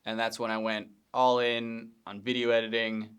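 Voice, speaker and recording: Host, male, 30s, from Pennsylvania: relaxed, rambling solo podcast talk with plenty of ums, resonant mid-pitched voice. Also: moderate pace, smooth. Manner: relaxed, rambling, frequent ums and self-corrections, occasional laughs. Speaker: podcast host, video editor. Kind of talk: casual solo podcast intro. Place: home studio space.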